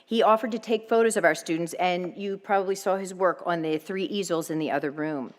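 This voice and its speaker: A woman gives a prepared speech to an audience in a large hall, and her voice trails off in a long reverb. Her voice sits a little low, and her delivery is measured, formal and grateful. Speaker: nonprofit leader giving an event speech. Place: event hall.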